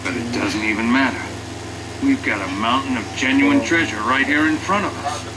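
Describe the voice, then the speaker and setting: A man's voice: mid-range voice, normal speed, natural voice. Man acting a sardonic soldier over heavy noise. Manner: sardonic, grumbling. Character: cynical wisecracking male soldier turned treasure hunter. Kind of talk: video game cutscene voice acting. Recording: loud engine and ambient noise.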